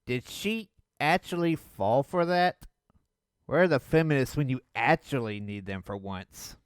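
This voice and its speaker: A man hosting a video, riffing on cartoon clips with mocking, deadpan sarcasm. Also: medium pitch, a little slow, faint music behind. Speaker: video host. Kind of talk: sarcastic riff commentary.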